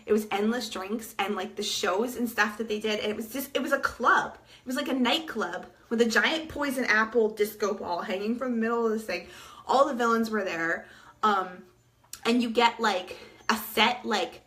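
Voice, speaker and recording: Sick lady livestreaming, voice going soft and hoarse, bright tone, rambling gushy chat. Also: mid-range voice. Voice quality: soft-spoken, fading voice. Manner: chatty and gushing, many fillers. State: sick, losing her voice. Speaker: livestream host. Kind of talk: casual chat to viewers.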